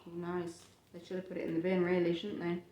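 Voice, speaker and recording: A woman reading a picture book aloud to a child and chatting about it, with expressive, drawn-out emphasis on phrases. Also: lowish voice, lo-fi sound. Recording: roomy, echoey home recording.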